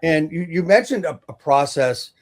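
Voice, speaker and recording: A man's voice: fairly high pitch, expressive, normal speed, darkish tone. A middle-aged man hosting an interview, rambling and hesitant with many fillers as he asks his questions. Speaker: host, longtime landlord. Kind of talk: casual interview talk.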